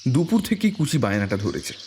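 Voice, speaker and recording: A man's voice: medium pitch, sing-song, brisk, noisy background. A man narrating a scripted suspense story in a dramatic storytelling style. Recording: prominent background music.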